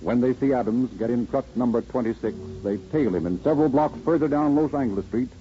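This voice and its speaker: A man's voice: mid-range voice, fast talker, soft background music. A man with an American accent reading scripted narration for a 1930s radio crime drama. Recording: muffled vintage radio recording.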